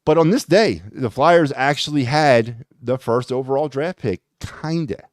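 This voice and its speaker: A man's voice: medium pitch, unhurried, dry recording, clean studio sound. A man hosting a solo sports podcast, talking in a rambling, blunt and sarcastic way with lots of fillers. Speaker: podcast host.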